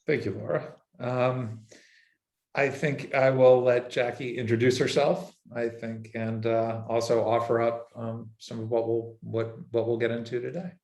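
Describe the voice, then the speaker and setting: A man moderating a panel over a video call, speaking in a measured, hesitant way with many fillers. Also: mid-range voice, flat delivery, darkish tone. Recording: roomy echo.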